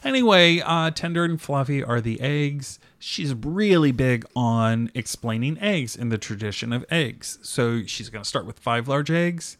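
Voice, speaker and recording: A man co-hosting a podcast, chatting casually about a cooking show with playful, animated bursts. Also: measured pace, hardly any pauses. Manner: animated, playful, jumps up in pitch when excited. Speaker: podcast co-host.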